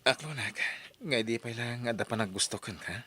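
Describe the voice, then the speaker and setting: A man's voice: medium pitch, normal speed, sharp tone, a little hiss or hum, natural voice. An actor playing an earnest male suitor in an old radio drama, speaking persuasively and pleadingly. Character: earnest male suitor courting a separated mother.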